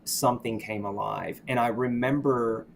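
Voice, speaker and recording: A middle-aged man, a podcast guest from the United States, talking candidly about his past in a reflective, halting way, often restarting and saying "you know.". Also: mid-range voice, steady tone, gravelly, slight background noise. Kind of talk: candid personal storytelling.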